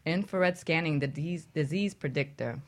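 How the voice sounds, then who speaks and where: A woman with a low, deep voice hosts a wellness talk radio show. She chats in a relaxed way, laughs easily and teases her co-host. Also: moderate pace. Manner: relaxed, giggly, teasing banter. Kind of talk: casual radio chat.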